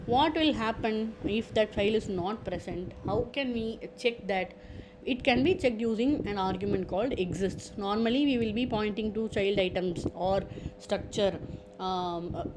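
A woman presents an informal software walkthrough at a medium pitch. She explains step by step, restating each point as she goes. The recording has clearly audible noise behind her voice. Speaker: tutorial presenter. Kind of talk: informal screen-share software tutorial.

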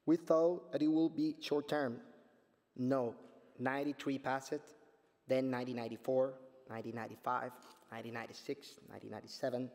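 A Cuban man in his forties with a Spanish accent, reading a prepared speech from a podium in an earnest, measured way and restarting words now and then. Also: medium pitch, steady tone, a little mellow. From Cuba. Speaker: panel speaker, artist. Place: conference stage at a podium. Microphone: podium microphone. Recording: long hall reverb.

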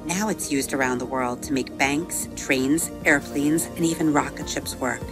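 A woman with a deep, low speaking voice reads a children's bedtime story aloud. She is expressive, easing down and softening on the vivid words. Gentle music plays underneath her. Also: measured pace. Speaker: storybook narrator and episode host. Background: soft music under the reading.

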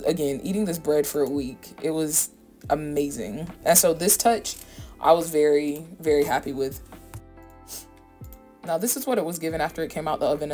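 A woman's voice: low voice, mellow. An American woman giving a casual, chatty voice-over for a home cooking vlog, informal and slangy. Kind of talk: casual voice-over narration of a recipe. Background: background music.

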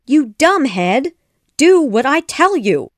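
A woman voicing a determined, quick-tempered mother bird in a storybook, speaking in a slow, deliberate, commanding way. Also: high voice, big pitch swings, no room sound, clean studio sound, voice pushed up, full character voice. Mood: furious. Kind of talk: storybook character voice.

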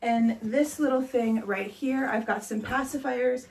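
A woman's voice: on the high side, fairly even intonation, a little slow, creaky, a little mellow, poor audio. A young woman in her twenties, congested and chatty, talking to camera in a nursery room. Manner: chatty, with many "like"s and light laughs. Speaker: vlogger. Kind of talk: casual chat to camera.

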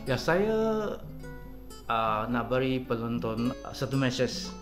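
Mandarin-native male film director, forties, halting and filler-heavy, mid-pitched, soft music underneath. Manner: halting, many fillers and repeated "ya". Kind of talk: interview answers in halting Malay. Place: small studio set with poster backdrop. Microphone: desk mic on tripod.

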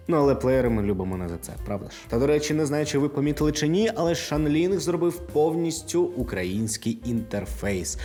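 A man's voice: medium pitch, a little mellow. A man from Ukraine hosting a casual scripted product review, talking conversationally to the viewer like a friend. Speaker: tech reviewer and video host.

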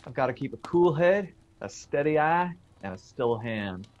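An actor playing a teasing, sceptical young electrician son in a radio drama, breezy and colloquial. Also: male voice, mid-range voice, expressive, normal speed, a little mellow, highs cut off, lightly acted. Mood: cheerful.

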